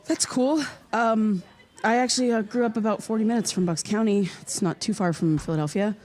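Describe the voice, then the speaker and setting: A woman's voice: mid-range voice, darkish tone, lightly acted. A woman acting as an earnest, hesitant podcaster seeking answers in a naturalistic audio drama. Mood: awkward, friendly. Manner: halting, apologetic, polite. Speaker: lead actor playing a podcaster.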